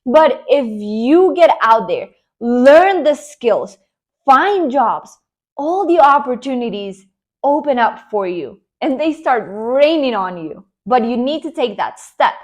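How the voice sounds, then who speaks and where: Motivational Colombian woman, rather high voice. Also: sing-song, slow, a little mellow. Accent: Colombian Spanish. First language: Spanish. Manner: earnest, encouraging, emphatic with repeated promises. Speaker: online career coach. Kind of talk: motivational talk to camera.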